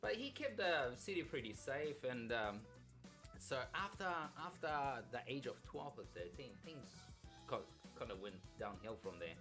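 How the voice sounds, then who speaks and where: A man in his thirties from Afghanistan, a podcast co-host telling his life story in a halting, nervous way with many restarts. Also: medium pitch, big pitch swings, over music. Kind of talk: casual storytelling chat.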